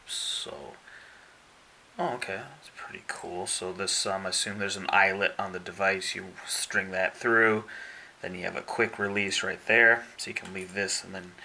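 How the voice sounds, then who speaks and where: A man giving casual unboxing narration as a product reviewer, explaining things in a measured, step-by-step way. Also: low voice, gravelly, bright, forceful tone, poor audio. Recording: faint background hiss.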